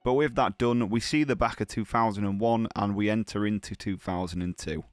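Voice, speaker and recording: A man with a bad cold narrates his gameplay in a chatty, slangy, upbeat way. His voice sits fairly low and sounds smooth and clear. The sound is dry and close, with no room around it. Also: a little fast, no echo, faint music behind. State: has a heavy cold. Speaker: gaming channel host. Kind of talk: gameplay commentary.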